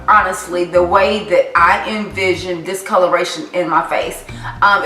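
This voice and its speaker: A woman from the Carolinas talking through her favorite makeup products in a chatty, animated vlog. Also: mid-range voice, far from the mic. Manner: chatty, animated, sassy asides. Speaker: makeup vlogger. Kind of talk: casual product review vlog. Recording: echoey room, voice off-mic, faint music.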